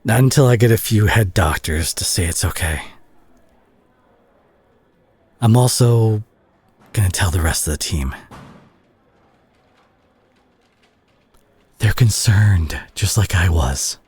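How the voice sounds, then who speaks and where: Man acting a husky, emotional superhero, fiercely protective. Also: sits low, lively intonation, darkish tone, full character voice. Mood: subdued, firm. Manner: earnest, emotional, halting delivery with sighs. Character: gruff brawler superhero, male, fiercely protective, guilt-ridden and tender. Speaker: audio roleplay voice actor. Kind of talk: dramatic intimate audio roleplay monologue.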